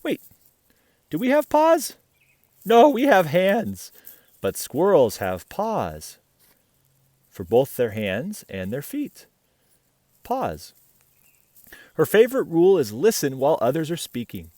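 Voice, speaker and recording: A man reads a picture book to children with a very animated, swooping delivery. His voice sits fairly high and sounds close and dry, and he playfully steps aside to chat with the young listener. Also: slow pace, pauses often, no room sound. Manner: playful, asks the listener questions. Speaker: picture-book reader, host. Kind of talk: animated children's read-aloud with chatty asides.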